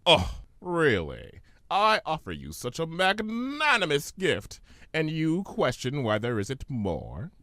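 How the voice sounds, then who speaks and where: Guy doing theatrical chaos creature genie for a story, super swoopy pitch, grandiose n wheedling, dry sound. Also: huge pitch swings, takes it slowly, pushed and bright, dry recording, full character voice. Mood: indignant. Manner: grandiose, wheedling, dramatic delivery. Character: theatrical, grandiose male trickster chimera posing as genie. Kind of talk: fan fiction audiobook character voice.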